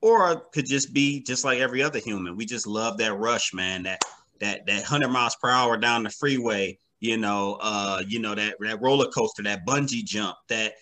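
A man's voice: lowish voice, normal speed, pushed and bright, slight background noise. A man speaking as an interview guest in a casual, reflective way, emphatically repeating phrases to build his points. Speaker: interview guest, comic book writer.

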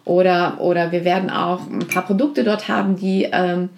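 A woman's voice: lowish voice, steady tone, normal speed. A woman being interviewed on a podcast about her outreach work, speaking earnestly with many fillers and restarts. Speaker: guest from a support organization.

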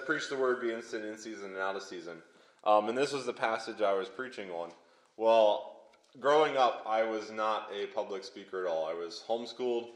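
Young man, pastor, from Pennsylvania, low voice, halting with fillers, roomy echo. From Pennsylvania, USA. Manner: halting, many fillers and restarts. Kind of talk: sermon testimony. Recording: echoey hall.

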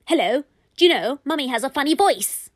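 A woman voicing a children's toy that has turned grumpy and repeats things in a loud, yelling tone. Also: high-pitched, animated, a little fast, dry recording, clean studio sound, pitched above the performer's own voice. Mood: grumpy, blaring.

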